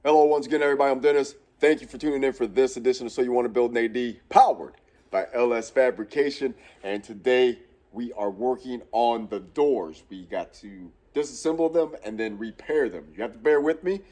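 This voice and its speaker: A man's voice: medium pitch, fairly quick, warm tone, faint music behind. A man in his thirties with a cold and a stuffy nose, hosting a casual shop tutorial in a large fabrication shop and rambling with frequent self-corrections. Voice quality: congested, nasal. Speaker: host of a truck build series. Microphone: camera on a tripod at mid distance.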